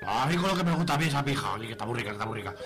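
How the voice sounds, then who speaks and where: A man's voice: mid-range voice, lively intonation, racing, a crowd in the background, close to an everyday voice. A man playing a cheeky, blustering teenage punk in a radio soap, with an Aragonese accent and stammering, slangy delivery. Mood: wistful, crude. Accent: Aragonese Spanish. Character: cheeky panicky working-class teenage punk boy. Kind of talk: amateur radio soap acting. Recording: clearly noisy recording.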